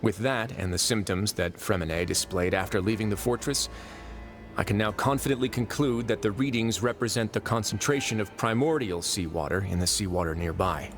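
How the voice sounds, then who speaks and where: An actor as a calm, low-voiced fortress warden. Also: male voice, close to an everyday voice. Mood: measured, explaining. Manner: measured, formal, explanatory. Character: calm, authoritative prison fortress warden, self-possessed and wry. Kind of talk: English video game voice acting. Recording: game music playing behind the voice.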